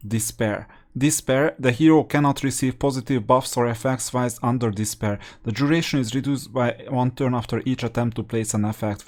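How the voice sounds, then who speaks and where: A man hosts a gameplay strategy video in a voice of average pitch. He talks casually and explains things step by step, keeping a matter-of-fact manner as he comments on the play. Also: darkish tone. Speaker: host of a gaming strategy channel.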